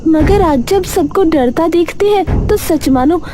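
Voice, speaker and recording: A woman's voice: extremely high pitch, a little slow, noisy background. A woman voicing a curious young woman who questions the village's ghost stories. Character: young woman Swara, doubtful and teasing.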